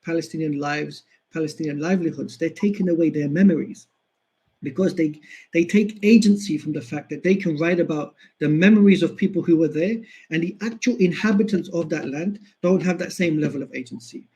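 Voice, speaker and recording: Man, earnest lecture, mellow tone, slightly high. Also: brisk. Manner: earnest, emphatic repetition. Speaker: lecturer on history. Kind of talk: explanatory lecture.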